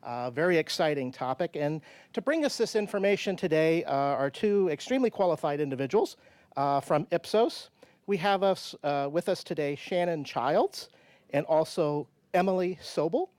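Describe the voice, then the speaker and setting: A man introduces speakers as a conference session host. His voice is fairly high and very clear, captured dry, and his delivery is measured and a bit halting. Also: animated, a little mellow, dry recording.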